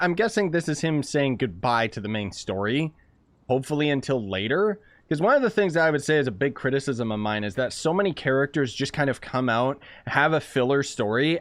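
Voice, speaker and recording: A man's voice: medium pitch, dry recording. A man giving casual, rambling gameplay commentary in an informal way. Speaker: gaming YouTuber. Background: game music.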